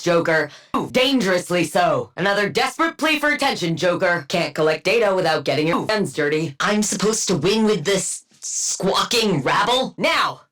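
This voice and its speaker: A haughty woman scientist turned game supervillain. Her diction is crisp and clinical, and her mid-range voice swings widely in pitch as she delivers dry, condescending combat barks. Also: a bit bright. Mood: sardonic, commanding, then urgent, alarmed. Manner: clinical, condescending, dryly witty. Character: cool, haughty, sardonic female scientist supervillain.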